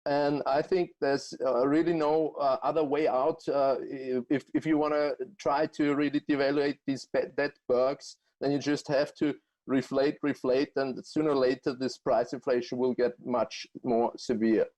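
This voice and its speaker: A man with an Austrian accent, speaking English as a second language after German, answers as a guest economist. His voice sits a little high for a man. He talks haltingly and often stops to restart a phrase. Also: darkish tone. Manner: halting, many fillers and restarts. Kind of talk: remote interview answers.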